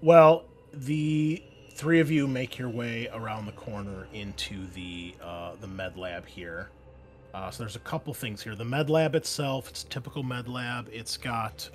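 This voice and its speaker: A man's voice: on the low side, fairly even intonation, measured pace. A man narrating a tabletop roleplaying game as game master in an informal, conversational way. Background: background music.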